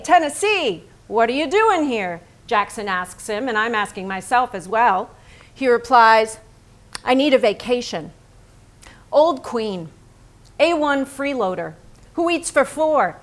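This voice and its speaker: Bitter wife for a staged play, female actor, higher-pitched, sardonic, biting, possessive. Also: very animated, measured pace. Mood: bitter, wry. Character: Lee, embittered painter's wife, sharp-tongued, possessive, exasperated. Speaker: stage actress. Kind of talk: theatrical staged reading, narration mixed with dialogue.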